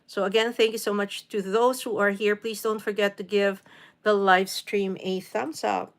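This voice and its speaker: A woman's voice: medium pitch, little pitch movement, moderate pace. A woman from the Philippines in her forties hosting a casual live stream, chatting with viewers, greeting them by name and using many fillers. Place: home in New York City.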